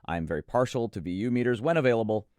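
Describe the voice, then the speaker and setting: A man's voice: medium pitch, animated, quick pace, darkish tone, no room sound, studio quality. A man co-hosting an audio gear preview, chatting enthusiastically and emphatically about products. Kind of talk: enthusiastic product review chat.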